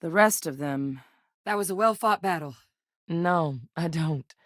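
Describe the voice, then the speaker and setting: An actress voicing a composed female ex-soldier companion in a video game, speaking in a measured, earnest way. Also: on the low side, dry recording, clean studio sound, natural voice. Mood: steady. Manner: measured, earnest, matter-of-fact. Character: composed female ex-soldier scientist, duty-bound. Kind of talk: recorded game voice lines. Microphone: close studio microphone.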